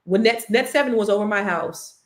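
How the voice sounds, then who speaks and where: A woman's voice: medium pitch, a little fast. A woman from California co-hosting a talk show, bantering playfully and reading out viewer comments. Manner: teasing, animated, reads viewer comments aloud. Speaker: co-host. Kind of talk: casual playful banter with a co-host.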